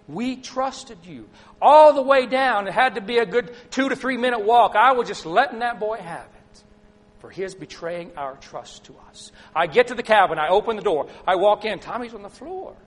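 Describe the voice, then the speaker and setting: A middle-aged man preaching a sermon, telling a personal story and asking the congregation questions, sometimes loud and sometimes soft. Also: high-pitched, husky. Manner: conversational, asks the congregation questions, swings between loud and soft. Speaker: pastor.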